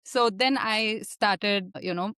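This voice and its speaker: A woman on an online panel sharing a reflective personal account, halting and full of fillers, laughing often, over a video call. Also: mid-range voice, bright, forceful tone, clean tone, no echo, clean studio sound. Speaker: panel participant.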